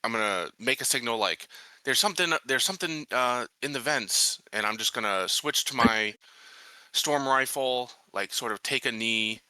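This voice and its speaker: A man's voice: mid-range voice, expressive, moderate pace, sharp tone, clear, resonant tone, dry recording, rough recording. A man playing a tabletop roleplaying game, casually thinking aloud as he describes what his character does.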